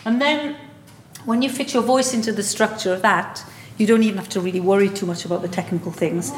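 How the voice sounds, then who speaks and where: A woman speaks with lively, emphatic enthusiasm about singing and her young performers. Her voice sits in an ordinary middle pitch. A faint hum of background noise runs under it. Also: fairly quick. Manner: enthusiastic, emphatic, laughs easily. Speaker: opera studio director. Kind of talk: interview and coaching talk.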